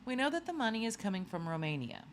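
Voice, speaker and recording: A woman's voice: a little high, sing-song, quick pace, a little hiss or hum, voice pushed up. A woman voicing an eager, clever boy who works as a secret agent in a children's storybook.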